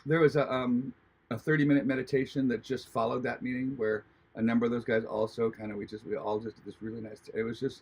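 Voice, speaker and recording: A man from Hawaii telling a personal spiritual story in a halting, earnest way, with many restarts. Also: mid-range voice, little pitch movement, creaky, breathy edge. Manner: earnest, reflective. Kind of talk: personal testimony, casual storytelling.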